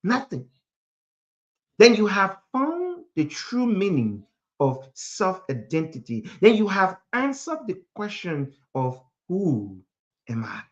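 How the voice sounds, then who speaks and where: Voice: mid-range voice, huge pitch swings, slow. Emphatic preacher from Africa, dull lo-fi sound. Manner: emphatic, repeats phrases for effect. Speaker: motivational speaker and preacher. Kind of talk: motivational sermon with rhetorical questions.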